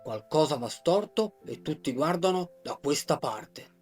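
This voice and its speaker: A hulking, guarded male gorilla, played by a woman in a children's storybook, growling out short, heavy sentences slowly and reluctantly. His voice sits low and grudging, with soft music in the background. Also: lively intonation. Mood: gruff. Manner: slow, low, terse and grudging. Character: gruff lonely male gorilla, big and guarded. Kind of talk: storybook character voice.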